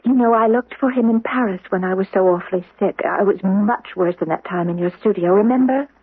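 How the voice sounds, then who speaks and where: Confused young woman, breathy, faltering, sweet, dry close sound, narrow old radio broadcast, 1970s drama. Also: medium pitch, fairly quick, dry recording. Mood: wistful, hazy.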